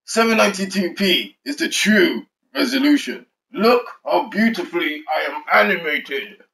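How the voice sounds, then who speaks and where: Man acting indignant vain zealot, pompous, declamatory, raised pitch, parody skit. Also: big pitch swings, moderate pace, gravelly, a bit bright, a little hiss or hum, heavily acted, low-quality recording. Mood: indignant, boastful.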